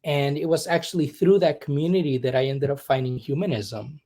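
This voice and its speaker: A young man from Puerto Rico, a humanist chaplain being interviewed, who talks in a reflective, searching way with many pauses and asides. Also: on the high side, steady tone, dark tone. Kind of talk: conversational interview.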